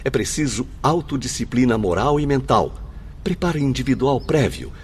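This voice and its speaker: A man with a Brazilian accent playing a benevolent, grave spirit mentor in a radio drama, speaking in a formal, measured, instructive way. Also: mid-range voice, very animated, a little hiss or hum. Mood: patient teaching. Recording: music under the voice.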